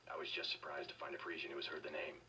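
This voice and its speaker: An actor playing a calm, gruff male secret agent in a video game, deadpan and measured. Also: very deep voice, recorded from a distance, a little hiss or hum, exaggerated voice, poor audio. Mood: deadpan, probing. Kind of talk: video game dialogue acting.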